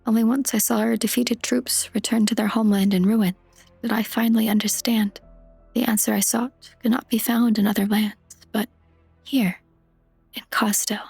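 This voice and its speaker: A gentle, idealistic fantasy game queen played by a woman speaks in a breathy, husky hush. Her lines stay even and solemn, carrying quiet sorrow. The recording is dry and close, with faint music behind. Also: fairly high pitch, no echo, heavily acted. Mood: reminiscing, solemn. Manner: measured, subdued, solemn. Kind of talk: dramatic fantasy game dub acting.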